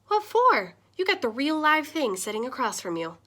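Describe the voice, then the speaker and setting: A woman's voice: high-pitched, very animated, normal speed, sharp tone, voice pushed up, exaggerated voice. A woman voicing a wise, upbeat grandmother who answers a boy's questions with folksy reassurance. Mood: cheerful.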